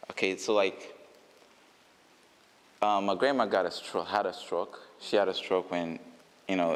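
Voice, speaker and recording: A man's voice: sits low, big-room sound. A man from Uganda, a brand founder, answering interview questions casually with many fillers and restarts.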